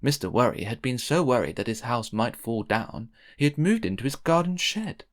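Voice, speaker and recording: Animated man reading a children's story. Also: medium pitch, normal speed. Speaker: storybook reader. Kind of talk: expressive children's read-aloud narration.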